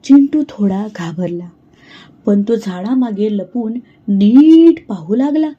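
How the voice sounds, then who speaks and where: A woman narrating a children's cartoon moral story in a slow, gentle, clear way. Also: medium pitch, lively intonation, muffled recording.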